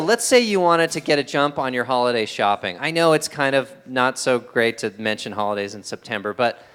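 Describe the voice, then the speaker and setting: A man reads the announcements at a church service in a friendly way, slipping in small jokes. His voice is smooth and clear at a middle pitch. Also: fairly bright tone. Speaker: announcements host at a spiritual center.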